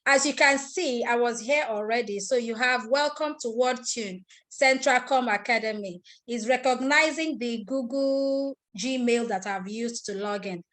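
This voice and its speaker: A Nigerian woman with a somewhat high voice leads a live online tutorial over a computer mic. She talks through each step in turn, repeats key points and breaks off to ask attendees questions. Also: measured pace, few pauses, fairly bright tone. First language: Igbo. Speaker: online class instructor. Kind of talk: live screen-share tutorial.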